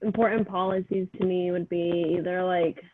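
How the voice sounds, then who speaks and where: A woman's voice: lowish voice, steady tone, normal speed. A woman in her twenties on a video call, answering casually with "like" and "you know". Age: 20s. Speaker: focus group participant. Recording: tinny call audio with faint noise.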